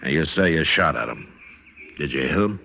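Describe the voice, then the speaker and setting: An actor playing a calm, firm American frontier marshal in a radio western, speaking in a measured, plain, authoritative way. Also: male voice, extremely low pitch, gravelly, heavily acted. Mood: calm, probing. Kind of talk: old-time radio western acting. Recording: dull old radio recording, faint noise.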